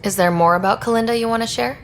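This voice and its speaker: A woman's voice: on the high side, fast talker, a little hiss or hum, natural voice. A woman playing a young leader of a space exploration team in a video game, speaking casually and directly. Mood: wry. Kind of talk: video game dialogue acting.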